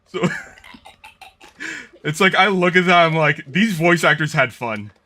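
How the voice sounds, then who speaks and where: A man's voice: fairly high pitch, expressive, takes it slowly, bright, forceful tone. A man streaming a game and chatting casually, sardonic and swearing freely. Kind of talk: casual gaming stream chat.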